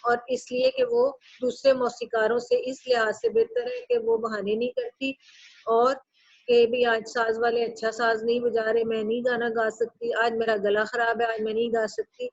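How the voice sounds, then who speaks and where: A woman's voice: gravelly, no echo. Urdu-accented woman teacher explaining a text. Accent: Pakistani Urdu. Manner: reads a line aloud, then restates it in plainer words; jumps to a high pitch when stressing a point. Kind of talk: reading and explaining a text to a class. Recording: dull, muffled sound.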